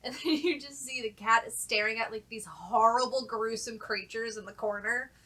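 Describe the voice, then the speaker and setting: A woman's voice: fairly high pitch, moderate pace, bright, forceful tone, low-quality recording. A woman chatting casually and telling personal stories in a frank, sweary way. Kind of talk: casual chat and personal storytelling.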